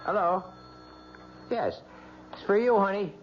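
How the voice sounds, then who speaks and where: A man's voice: high voice, expressive, a little slow, noisy background. An actor playing an eager, effusive husband and new father in an old radio drama. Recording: dull old radio broadcast recording.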